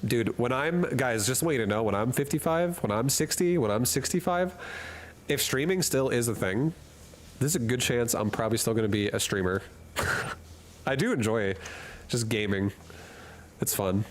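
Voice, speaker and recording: A man's voice: mid-range voice, normal speed, pauses often, slight background noise. Jokey rambling male game streamer. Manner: rambling and jokey, reads chat aloud and laughs often. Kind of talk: casual live-stream chat while gaming. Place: hot room at home.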